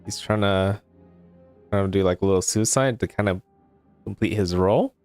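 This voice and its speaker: A man with a deep voice comments casually as he plays a game. He sounds like a streamer talking over the action, with faint music behind. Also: moderate pace, a little mellow. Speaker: let's player, streamer.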